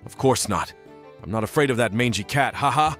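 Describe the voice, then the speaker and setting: A man's voice: medium pitch, animated, a little fast, a bit bright, faint music behind, lightly acted. Cartoon dog, male performer, mid-range, polite on top, cocky and exasperated underneath. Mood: boastful, mocking. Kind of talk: comic dub character acting.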